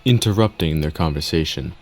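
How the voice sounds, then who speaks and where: Male narrator, low voice, scripted storytelling for a game cutscene. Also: a little fast.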